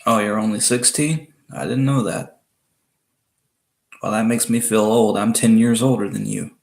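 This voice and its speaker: Young Southern guy from Alabama, deep and gravelly, rambling live-stream host. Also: steady tone, moderate pace, darkish tone. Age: 20s. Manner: rambling, chatty, laughs often, restarts. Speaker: livestream host. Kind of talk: casual live chat with viewers.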